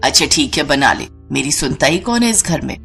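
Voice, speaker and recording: A woman's voice: low voice, lively intonation, fairly quick, gravelly, a bit bright, soft background music, voice pushed down, exaggerated voice. A woman voicing a bossy, stingy older mother-in-law in a scolding, demanding manner for a story. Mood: grumbling.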